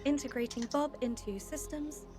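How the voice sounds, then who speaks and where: A woman's voice: sits high, moderate pace, music in the background, natural voice. A woman playing a failing, self-willed AI overseer in a science fiction drama, speaking in formal, clipped announcements. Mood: defiant.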